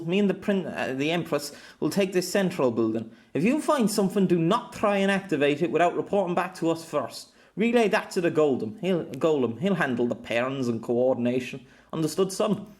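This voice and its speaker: A man voicing a gruff male soldier commander who barks orders and makes sardonic quips. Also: a little high, pitched above the performer's own voice. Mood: commanding.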